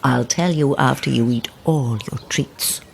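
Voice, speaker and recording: A woman's voice: extremely low pitch, unhurried, vocal fry, a little hiss or hum, full character voice. An actress playing a sweet, cooing elderly woman neighbour with a faintly sinister undertone in a naturalistic audio horror drama. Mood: doting, coaxing. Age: older. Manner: cooing, coaxing, grandmotherly. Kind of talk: naturalistic immersive radio drama acting.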